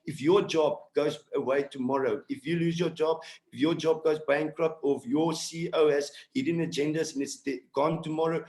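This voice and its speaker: A man from South Africa with an Afrikaans accent, a native Afrikaans speaker, giving an earnest, emphatic motivational sales pitch as a team leader on an online call. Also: darkish tone. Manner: earnest, exhorting, repetitive and emphatic. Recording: roomy, echoey online call audio.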